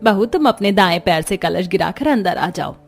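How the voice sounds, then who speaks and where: A woman's voice: medium pitch, animated, moderate pace, vocal fry, breathy edge. A woman voicing a proud, bossy, rich middle-aged mother-in-law in a cartoon. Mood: welcoming.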